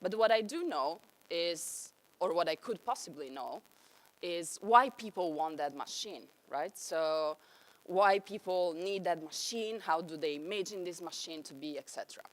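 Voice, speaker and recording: A woman's voice: on the low side, lively intonation, measured pace. A young woman with an Italian accent giving an enthusiastic conference talk on stage. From Italy. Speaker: conference speaker.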